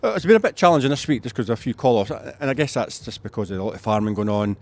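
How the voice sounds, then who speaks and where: A man's voice: medium pitch, expressive, racing. A man speaking as a rugby head coach in a casual pre-match interview.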